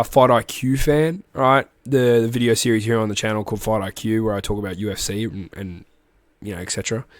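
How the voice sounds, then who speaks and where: A man's voice: on the low side, no room sound, studio quality. An Australian man with a cold, phlegmy and coughing now and then, hosting a rambling, casual rugby league recap. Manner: rambling and chatty, with restarts and asides. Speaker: rugby league podcast host.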